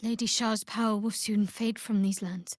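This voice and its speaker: An actress playing a guarded, devout young woman cleric of a dark goddess in a fantasy video game, with a measured, wry delivery. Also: mid-range voice, steady tone, dry recording, clean studio sound, close to an everyday voice. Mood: measured, solemn.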